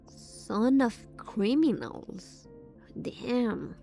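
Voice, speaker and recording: A woman streamer reacts casually to a game's story. She speaks very slowly and haltingly in a mellow voice, musing aloud over faint background music. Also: on the high side, expressive, creaky. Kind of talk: casual live reaction commentary.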